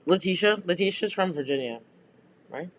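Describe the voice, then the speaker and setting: A man chatting casually with friends, telling stories in an animated way with slang and swearing. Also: medium pitch, normal speed, a little hiss or hum. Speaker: podcast co-host.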